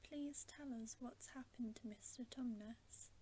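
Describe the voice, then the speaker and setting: A woman's voice: a little high, measured pace, dark tone, lightly acted. A woman whispering the part of an earnest, worried young girl who pleads, in a storybook reading. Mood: anxious.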